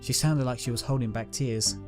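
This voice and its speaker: A man narrating a fan-written pony story in a calm, steady reading voice. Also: mid-range voice, a little fast. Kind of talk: fanfic audiobook reading. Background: guitar music.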